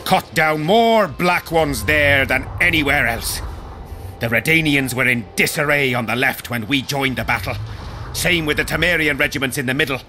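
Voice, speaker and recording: Fantasy game war veteran, male actor: medium-pitched, rustic, proud and blunt soldier, noisy backdrop. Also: normal speed, pushed and bright, lightly acted. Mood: reflective. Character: rough, battle-hardened medieval army veteran, proud and blunt. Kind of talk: video game dialogue acting.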